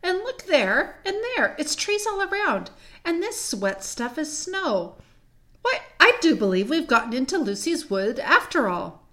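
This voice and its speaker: A narrator playing a bossy but fair-minded eldest schoolboy brother in a storybook, with hearty old-fashioned exclamations. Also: male voice, extremely high pitch, sing-song, moderate pace, sharp tone, pitched above the performer's own voice, heavily acted. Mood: excited.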